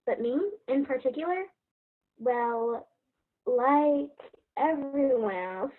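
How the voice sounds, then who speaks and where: High-voiced earnest little girl played by a woman. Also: slow pace. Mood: proud storytelling. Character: Sam, earnest, hopeful little girl fairy-tale hero. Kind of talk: stage play acting and storybook narration. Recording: dull, muffled lo-fi sound.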